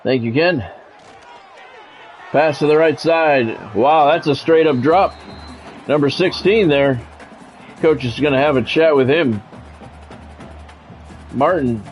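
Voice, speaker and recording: A man doing football play-by-play as a game streamer, in short clipped announcer-style calls mixed with casual chat to viewers. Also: a little high.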